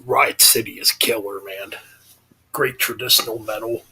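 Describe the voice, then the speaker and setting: A raspy-voiced man in his forties chatting casually and eagerly recommending albums. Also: fairly low pitch, fairly even intonation, moderate pace, bright, forceful tone, low-quality recording. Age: 40s. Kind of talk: casual chat.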